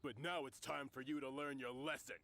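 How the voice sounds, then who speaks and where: A man's voice: mid-range voice, steady tone, fairly quick, pushed and bright, slight background noise. A man voicing a sneering, bullying thug in a video game, mocking and laughing at his target. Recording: game audio with faint ambience.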